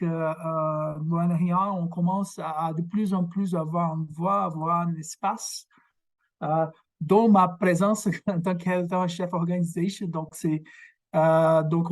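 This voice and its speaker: A man from Brazil with a Québécois French accent and Portuguese as his first language, giving a workshop lecture over a video call, halting and searching for words. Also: sits high, darkish tone. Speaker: guest lecturer, researcher. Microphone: video call microphone.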